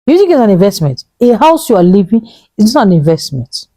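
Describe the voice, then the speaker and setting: A woman in her thirties with a Nigerian English accent, earnestly pleading with viewers and repeating her points, dropping to a low hush for emphasis. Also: sing-song, a little fast, no echo. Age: 30s. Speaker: vlogger giving money advice. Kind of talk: earnest motivational talk to camera.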